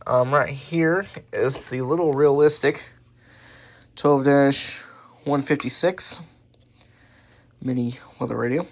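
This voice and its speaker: A man presenting his hobby collection in a casual, unscripted way, halting and often correcting himself as he goes. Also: mid-range voice. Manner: halting, offhand, self-correcting. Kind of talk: casual unscripted show-and-tell.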